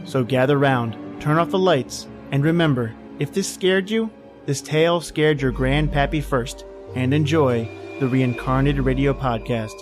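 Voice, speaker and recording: A man's voice: mid-range voice, a little slow. A man hosting a podcast, delivering a scripted, spooky introduction to an old-time horror tale. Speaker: podcast host. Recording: music under the voice. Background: music.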